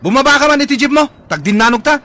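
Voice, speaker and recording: An actor with an Ilocano Filipino accent playing a vain, fussy, hot-tempered young bully in a radio drama. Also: male voice, extremely high pitch, lively intonation, fast, sharp tone, full character voice. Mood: belligerent. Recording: busy crowd and vehicle sounds behind.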